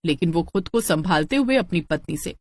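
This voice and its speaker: A woman's voice: on the low side, lively intonation, a little fast, no room sound. A woman storyteller reading scripted narration for a cartoon tale.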